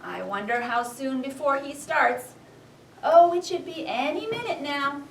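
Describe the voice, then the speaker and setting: High-voiced woman teacher, echoey classroom. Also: a little slow. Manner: animated, dramatic when reading, clear instructional phrasing. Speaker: first-grade teacher. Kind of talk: expressive storybook read-aloud and classroom instruction. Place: classroom with young children. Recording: echoey classroom, distant, background noise.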